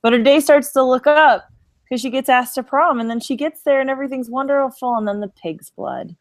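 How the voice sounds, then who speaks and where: A woman's voice: sits high, a little fast, no room sound. A woman co-hosting a podcast in casual, conversational chat. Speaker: podcast co-host.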